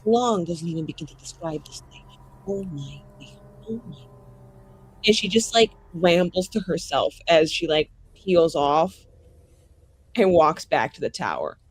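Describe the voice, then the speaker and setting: A woman's voice: on the low side, lively intonation, normal speed, low-quality recording. A woman chats as a player in a tabletop roleplaying game. Her voice swings up and down in a theatrical, casual and sweary way. Faint noise sits behind her. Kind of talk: casual chat, dramatic reactions.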